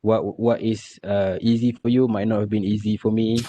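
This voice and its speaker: A Malaysian man giving a measured online presentation from slides, checking in with his audience often. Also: on the low side, level tone, warm tone, slight background noise. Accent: Malaysian English. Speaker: lecturer. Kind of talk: virtual presentation.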